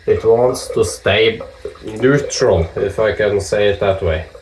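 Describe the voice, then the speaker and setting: Slow-talking Norwegian man in echoey bathroom. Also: fairly low pitch, a little mellow, a little hiss or hum. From Norway. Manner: joking, profane, chatty asides. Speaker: vlogger shaving with a straight razor. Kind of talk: casual talk while shaving. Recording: echoey room with dripping water.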